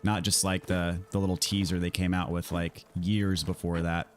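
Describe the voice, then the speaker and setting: An American man with a fairly low voice, slightly raspy from a scratchy throat. He talks as a game streamer in a laid-back, friendly way, casually answering his viewers as he plays. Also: flat delivery, moderate pace, soft background music. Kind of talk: casual livestream chat answering viewers while gaming.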